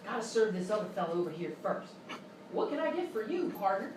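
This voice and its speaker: A woman's voice: mid-range voice, big pitch swings, fast talker, close to an everyday voice. A woman playing a brash, no-nonsense Old West saloon keeper with an in a live radio comedy. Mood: folksy welcome. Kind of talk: live radio Western parody. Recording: very echoey live stage, distant, noisy.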